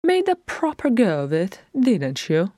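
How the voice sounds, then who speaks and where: Gentle, fussy hobbit gentleman, acted by a narrator: wry, polite, pitched high. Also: huge pitch swings, measured pace, no echo, studio quality. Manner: wry, polite, lightly teasing. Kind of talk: fan fiction audiobook character acting.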